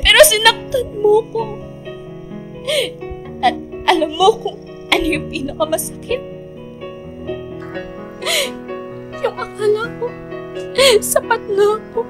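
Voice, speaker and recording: A woman's voice: extremely high pitch, measured pace, long pauses, over music. A woman playing a heartbroken young woman in a radio drama, crying and pleading bitterly. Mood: crushed, crying. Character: heartbroken young woman Shane, bitterly confronting the man she loves. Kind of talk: emotional Filipino radio drama acting.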